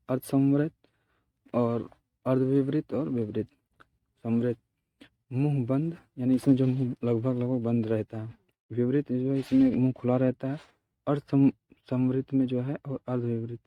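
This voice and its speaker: A man's voice: mid-range voice, dry recording, lo-fi sound. A man teaching in a methodical way, reading out lesson notes and explaining them, repeating key terms and examples.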